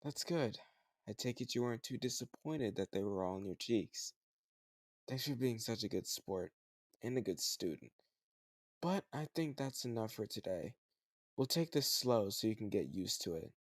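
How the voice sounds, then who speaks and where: Male actor as slightly older home tutor for romantic audio roleplay: lowish creaky voice, close dry sound, teasing, patient, encouraging. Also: lively intonation, moderate pace, dry recording. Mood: teasing, affectionate. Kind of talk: scripted romantic audio roleplay, addressed to the listener.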